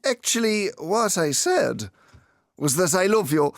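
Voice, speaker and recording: A man's voice: high voice, very animated, a little slow, no room sound, studio quality, exaggerated voice. A man playing a lovestruck, awkward young schoolboy in a comic audio drama, gushing with earnest melodrama.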